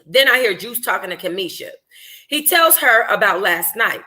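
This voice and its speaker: Woman, very bright voice, mid pitch, expressive informal novel narration, conversational, slangy delivery. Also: moderate pace. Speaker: book club host reading a novel aloud. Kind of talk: informal audiobook-style reading of a first-person novel.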